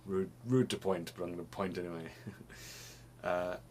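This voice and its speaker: A man's voice: deep voice, steady tone, moderate pace, a little mellow, slight background noise. A young man chatting casually to camera in a small home room, rambling and restarting his phrases, slightly sniffly. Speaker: vlogger.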